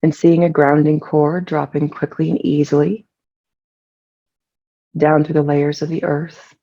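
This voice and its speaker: A person leading a guided meditation in a soothing, unhurried way with long pauses, relaxed and gravelly with vocal fry. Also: medium pitch, muffled recording.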